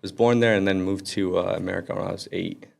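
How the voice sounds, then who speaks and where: A man in his thirties with a low, gravelly voice, talking in a relaxed, easygoing way as a podcast guest. He is from Lebanon and Florida, and he often falls back on a quick "yeah, yeah" as he speaks. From born in Lebanon, raised in Florida. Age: 30s. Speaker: guest, emergency doctor. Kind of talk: casual podcast chat.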